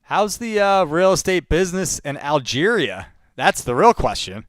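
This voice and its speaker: A man's voice: on the high side, sing-song, moderate pace, no room sound, studio quality. A man hosting a live calling session, talking informally and in a rambling way to his viewers between sales calls. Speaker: live sales-call host, real estate cold caller. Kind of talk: casual live-stream chat between phone calls.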